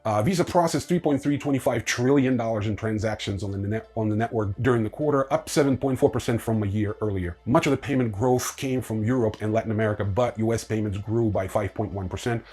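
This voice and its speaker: A man with a lowish, husky voice hosts a crypto news roundup. He reads headlines with lots of "uh" fillers and breaks off for dry, sarcastic remarks. The tone stays low-key and conversational. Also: quick pace, hardly any pauses, darkish tone. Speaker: news host.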